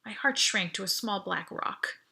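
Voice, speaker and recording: A woman reading first-person audiobook narration in an expressive, urgent, dramatic way. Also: mid-range voice, a little slow, gravelly. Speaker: author reading own novel.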